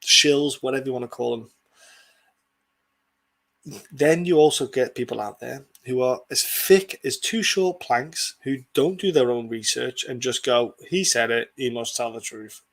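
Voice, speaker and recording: A man's voice: mid-range voice, a little slow, creaky, a bit bright. A man hosting a casual sports prediction podcast, talking bluntly in a rambling way and swearing freely. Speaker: podcast host.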